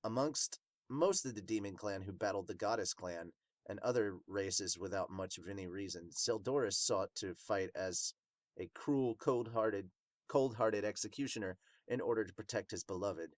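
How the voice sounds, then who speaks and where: A man voicing a remorseful demon captain in a manga dub, recounting his past in a somber, measured way. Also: lowish voice, no room sound, close to an everyday voice. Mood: somber, regretful.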